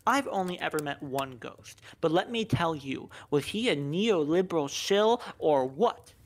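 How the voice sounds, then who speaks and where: Earnest opinionated friend, kinda low voice, matter-of-fact storyteller, comic radio play. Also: female voice, lively intonation, measured pace, full character voice. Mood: earnest storytelling. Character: earnest opinionated woman friend, spinning a ghost tale.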